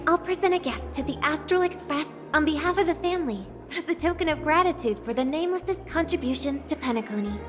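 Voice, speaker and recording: High-voiced, gracious young lady. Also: lively intonation, fast, hardly any pauses. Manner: formal, polite. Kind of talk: video game voice acting. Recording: background music under the voice.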